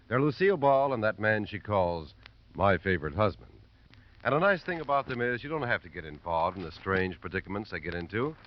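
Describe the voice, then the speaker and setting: An American man doing scripted announcing on a 1950s radio broadcast. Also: fairly low pitch. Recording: muffled old radio recording, faint hiss.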